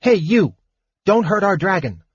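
A man voicing a bold, defiant little boy who yells indignantly in a fantasy audiobook. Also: high-pitched, big pitch swings, unhurried, breathy edge, dry recording, lo-fi sound, voice pushed up, full character voice. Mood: defiant, angry. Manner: yelling, indignant.

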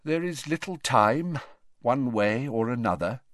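A man voicing an old, weakened headmaster wizard who speaks lightly and conversationally, sometimes mumbling softly. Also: medium pitch, animated, measured pace, no echo, clean studio sound, pitched above the performer's own voice. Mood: wry. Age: older.

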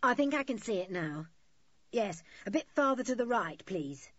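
A woman voicing an angry, bossy scheming woman crook from a children's storybook, in a sharp, commanding manner. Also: mid-range voice, very animated, normal speed, no room sound, muffled recording. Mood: urgent.

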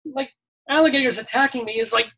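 A man's voice: very high-pitched, fast, pushed and bright, rough recording. A middle-aged man hosting a weekly radio show, reading odd news items and cracking jokes. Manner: jokey, reads news items with quips. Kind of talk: comedic news chat.

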